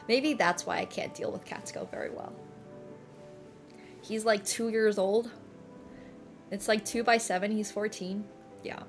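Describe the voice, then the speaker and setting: Woman in her 20s, Finnish native, easygoing streamer chat, mid-pitched voice, faint music underneath. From Finland. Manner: chatty, laughs often, lots of "like" and "bro". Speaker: livestreamer.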